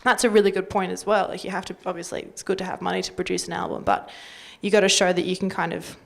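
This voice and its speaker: A woman's voice: lowish voice, fast, gravelly. An Australian woman talking casually and informally as a panelist, with loose conversational phrasing. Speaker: community radio panelist.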